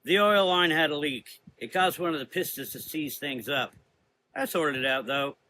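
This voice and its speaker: A man's voice: fairly high pitch, moderate pace, pushed and bright, a little hiss or hum, pitched above the performer's own voice, low-quality recording. A man voicing a blunt, hot-tempered woman sailor in a gothic horror audio drama. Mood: matter-of-fact.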